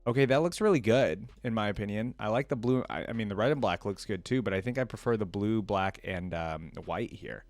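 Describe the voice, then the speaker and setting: A man's voice: fairly low pitch, brisk, hardly any pauses, darkish tone, faint music behind. A man giving casual reaction commentary as a video host, rambling and thinking aloud.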